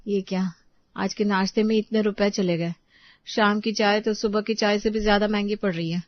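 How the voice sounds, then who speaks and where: A woman plays a dutiful young housewife in an Indian family tale, fretting over the household budget. Her voice sits at a middle pitch in a very dry, slightly dull recording. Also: fairly even intonation, moderate pace, no room sound, close to an everyday voice. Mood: worried. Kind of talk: acted Hindi family story dialogue.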